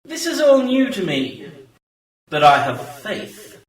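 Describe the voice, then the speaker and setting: A man's voice: sits high, huge pitch swings, full character voice. A man playing a ceremonious, faithful monk of a secret order in a science-fiction radio play. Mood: solemn, faithful. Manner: slow, ceremonious. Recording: echoey, distant radio play recording.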